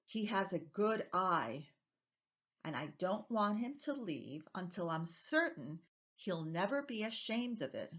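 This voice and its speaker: A woman's voice: medium pitch, pushed and bright, heavily acted. A woman performing a stage monologue as a feisty, nonconformist out-of-work writer, talking in an emphatic, impassioned way. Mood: fond, wistful, then pleading. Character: unemployed nonconformist writer pleading to keep beloved nephew, feisty. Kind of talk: dramatic stage monologue reading.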